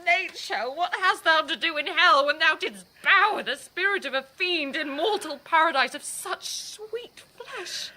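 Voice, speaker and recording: A young bride in a Shakespearean radio drama. Her voice is high and bright, and she takes her time over the verse. The delivery is theatrical and full of passion. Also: expressive, measured pace, few pauses, full character voice. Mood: anguished, horrified.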